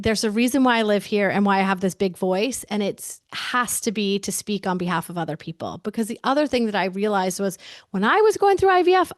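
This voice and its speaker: A woman's voice: medium pitch, no echo, clean studio sound. A woman in her forties talking candidly as an interview guest, emphatic and chatty, often saying "like" and restarting her sentences. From United States.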